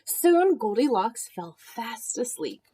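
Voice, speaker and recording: A woman reading a children's story aloud like a teacher, with expressive, deliberate storytelling. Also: fairly high pitch, husky. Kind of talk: storybook read-aloud narration with asides.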